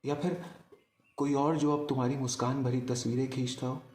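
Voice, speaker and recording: A man performing a poetic love monologue as a storyteller, in a wistful, deliberate manner with pauses. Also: mid-range voice, steady tone, a little mellow, reverberant. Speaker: spoken-word storyteller. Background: music.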